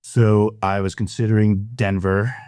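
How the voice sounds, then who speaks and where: A man's voice: fairly low pitch, flat delivery, unhurried, a little mellow, no echo, studio quality. A man in his fifties from Massachusetts with a Massachusetts accent, telling stories as a podcast guest with restarts and playful teasing. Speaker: podcast guest, property management owner. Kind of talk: casual interview chat.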